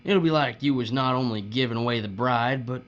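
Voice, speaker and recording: A man's voice: medium pitch, fairly quick, lightly acted. Man acting cruel folksy bully, muffled hum. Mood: gloating, bossy. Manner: taunting drawl with folksy uneducated grammar. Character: cruel, leering, folksy male bully boss in a dystopia. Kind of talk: audio drama acting. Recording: muffled audio with steady mains hum.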